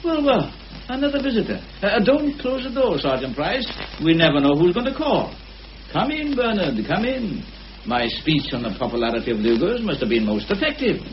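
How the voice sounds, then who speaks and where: A man's voice: high voice, big pitch swings, normal speed. A shrewd police inspector in a radio mystery, probes with dry irony and doubt. His intonation is very mobile, and the old broadcast sound is muffled with a faint hiss. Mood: wry, unruffled. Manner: dryly ironic, probing questioning. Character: shrewd, sceptical male police inspector, probing and dryly ironic. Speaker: actor in a radio play. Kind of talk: radio murder mystery acting. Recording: muffled, lightly hissy old radio recording.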